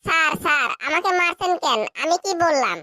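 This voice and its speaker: A woman's voice: sits high, expressive, fairly quick, heavy background noise. A woman voicing a meek, naive and cheeky schoolboy answering in a comic cartoon. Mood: meek protest. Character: cheeky, dim young schoolboy pupils, meek before teacher.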